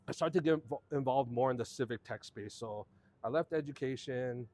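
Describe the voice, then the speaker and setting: A man. He tells his story in a relaxed, meandering way, full of "um"s and restarts. His voice sits at an ordinary middle pitch. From Hawaii. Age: 40s. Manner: relaxed, rambling storytelling with many fillers and restarts. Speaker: guest. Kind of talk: casual conversational interview.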